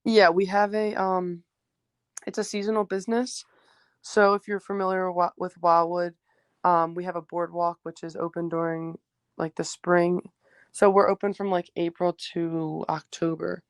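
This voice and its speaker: A teenage girl from New Jersey whose first language was Greek, answering questions in a loose, hesitant way full of "um" and "like." Her voice sits low for a girl, and the recording is close and dry. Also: little pitch movement, moderate pace, dry recording. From New Jersey, USA. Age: teens. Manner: hesitant, many fillers like "um" and "like". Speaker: high school basketball player, guest. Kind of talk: casual interview answers.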